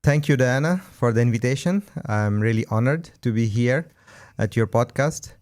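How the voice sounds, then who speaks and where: A man with an Indian accent and a smooth, clear voice at medium pitch. He answers as a podcast guest in a casual way, choosing his words in measured, slightly hesitant phrases. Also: lively intonation, a little mellow. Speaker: podcast guest, event co-founder.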